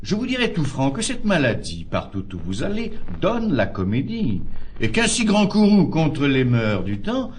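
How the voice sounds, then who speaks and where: Reasonable, measured gentleman in verse. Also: on the high side, huge pitch swings, slight background noise. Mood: amused reproach. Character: reasonable, tolerant, philosophical friend urging moderation. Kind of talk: classical French verse stage acting.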